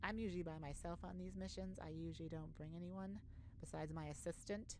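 A woman playing an earnest, easily flustered space ambassador, halting and awkward yet formal, in an ASMR sci-fi roleplay. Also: lowish voice, steady tone, lightly acted. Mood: awkward, tired. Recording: noticeable background noise.

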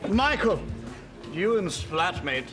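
Cheeky mock-posh British man, high-pitched. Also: sing-song, slow, full character voice. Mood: smug, flirtatious. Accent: British, put on. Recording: loud music behind the dialogue.

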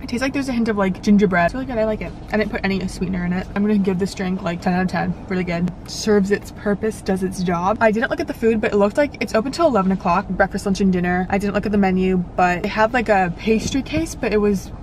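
A woman's voice: mid-range voice, fast talker, hardly any pauses, darkish tone, a little hiss or hum, poor audio. A young woman in a parked car, talking casually to a dashboard camera while reviewing coffee shops, with lots of filler words. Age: young adult. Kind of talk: casual vlog chat.

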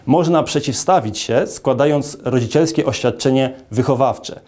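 A man in his thirties, a lawyer, giving a formal statement to camera in an office, speaking in a measured way with frequent hesitation fillers. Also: mid-range voice, darkish tone. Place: indoor office with large arched window.

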